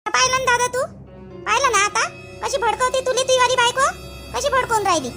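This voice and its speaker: A woman's voice: very high-pitched, a little fast, music in the background. A woman voicing an indignant young sister in a family cartoon, accusing and nagging in a Varhadi Marathi accent. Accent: Varhadi Marathi (Vidarbha). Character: indignant young sister scolding her brother. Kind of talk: animated family drama acting.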